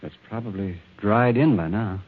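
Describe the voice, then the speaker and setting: An evasive office artist in a 1940s radio mystery. He talks casually in a slightly husky voice, through the thin, muffled sound of an old broadcast. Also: lowish voice, normal speed. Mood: evasive, flustered.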